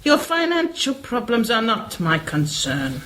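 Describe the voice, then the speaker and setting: A woman's voice: fairly high pitch, sing-song, measured pace, fairly bright tone. A woman playing a cold, menacing criminal schemer in a radio play, with clipped, formal, commanding speech. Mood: cold, threatening.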